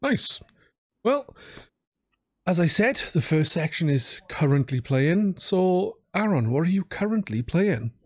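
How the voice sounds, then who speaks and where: A man hosting a podcast in casual chat, speaking haltingly and repeating words as he goes. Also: a little high, big pitch swings, no room sound. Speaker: podcast host.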